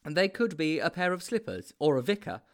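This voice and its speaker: A self-important storybook detective hero, played by a man with grand ideas of adventure. The voice is pompous and emphatic, leaping up and down in pitch as it explains. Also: big pitch swings, brisk. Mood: pedantic. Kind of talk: storybook character voice.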